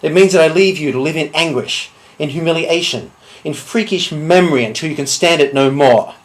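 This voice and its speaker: Menacing male duelist, acted by a man: deliberate, cold, theatrical contempt, dramatic monologue. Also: on the high side, natural voice, rough recording. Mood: cold menace. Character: cold, menacing swordsman threatening a slow revenge.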